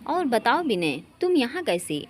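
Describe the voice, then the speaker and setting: A spirited married woman, acted out by a woman narrator in a romantic audio story. Her voice is fairly high and animated in pitch, brisk and familiar yet guarded. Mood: bantering. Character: spirited married housewife, guarded with an old friend.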